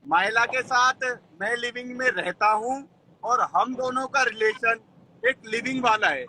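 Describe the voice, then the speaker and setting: A man's voice: extremely high pitch, unhurried, bright, forceful tone, low-quality recording. A young man calling into a live stream, chatting casually but bluntly and defiantly, with coarse language. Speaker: guest caller on a live stream. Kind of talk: casual argumentative chat. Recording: live call with faint noise.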